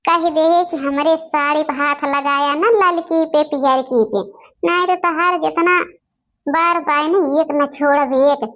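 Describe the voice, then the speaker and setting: Woman acting a high-pitched, scolding Awadhi village wife. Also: steady tone, measured pace, a bit bright. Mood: indignant. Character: hot-tempered village wife guarding her saris. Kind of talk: homemade comic cartoon dialogue.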